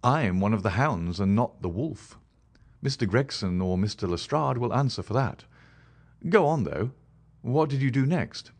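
A brisk, clever detective , as the reader puts him on, speaks in crisp, commanding phrases with a lively lilt. He presses his questions impatiently and his voice moves freely up and down. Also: mid-range voice. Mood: probing. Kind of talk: audiobook character voice.

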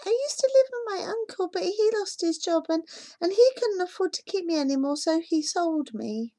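A woman voicing a small, frightened girl who speaks softly, close to tears. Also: very high voice, lively intonation, normal speed, voice pushed up. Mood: sad. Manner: soft, near tears.

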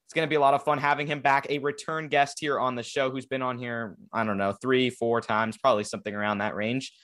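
A man's voice: medium pitch, fairly even intonation, fast, a bit bright. A young man hosting a sports podcast in a casual, rambling chat with a guest. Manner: rambling, filler-heavy, conversational. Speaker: podcast host.

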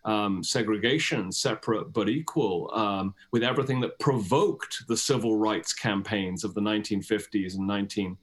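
A man's voice: on the low side, normal speed, few pauses. A man with a British accent, speaking as an academic guest in an explanatory, discursive and emphatic interview style.

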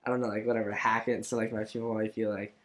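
A man's voice: on the low side, little pitch movement, quick pace. A young man conducting a casual interview, informal and rambling, with lots of filler words as he works out his questions.

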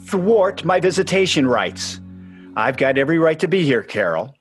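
An actor playing an aggrieved, sentimental ex-husband and sports fan in a radio play, speaking plaintively. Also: male voice, a little high, sing-song, normal speed, faint music behind. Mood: indignant.

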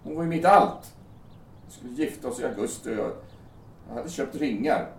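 A man acting a grieving, bitter young bridegroom who blames himself, halting and agitated, in a naturalistic audio drama. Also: medium pitch, expressive, close to an everyday voice. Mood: angry, bitter. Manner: agitated, sarcastic, swearing, halting. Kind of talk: naturalistic radio drama dialogue. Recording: roomy, distant mic, faint background noise.

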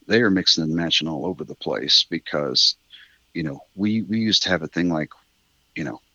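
A middle-aged man with a very low, bright-edged voice talks as a guest in a relaxed interview. He wanders through his thoughts, correcting himself along the way. The sound is close and dry, though slightly muffled. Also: normal speed, no echo. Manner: rambling, thoughtful, self-correcting. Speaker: interview guest, writer of a radio drama. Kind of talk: casual reflective interview chat.

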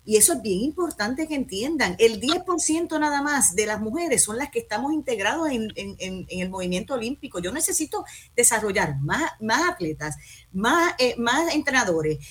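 A woman's voice: a little high, animated, normal speed, fairly bright tone, husky. A woman who leads a sports federation, speaking passionately and emphatically on a panel. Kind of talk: panel discussion.

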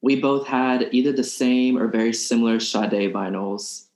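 A young man from Alabama, a podcast guest musician, talking in a soft-spoken, hesitant way with many ums. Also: medium pitch, normal speed. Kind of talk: casual interview chat. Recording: roomy echo.

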